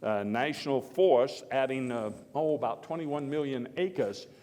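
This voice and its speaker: A man playing Theodore Roosevelt as a hearty, folksy elder statesman, giving a halting, oratorical first-person speech of reminiscence. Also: medium pitch, warm tone, lightly acted. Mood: earnest, reflective. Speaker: historical reenactor giving a speech. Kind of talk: first-person dramatized historical speech, storytelling. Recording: echoey hall with long reverb.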